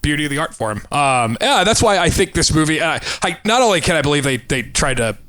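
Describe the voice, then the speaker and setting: A man hosting a casual film discussion, talking haltingly and repeating phrases for emphasis. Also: on the high side, big pitch swings, fairly bright tone, a little hiss or hum. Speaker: podcast host.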